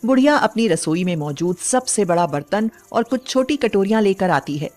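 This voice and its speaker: Female storyteller over soft music. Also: medium pitch, expressive, normal speed. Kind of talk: scripted bedtime story narration.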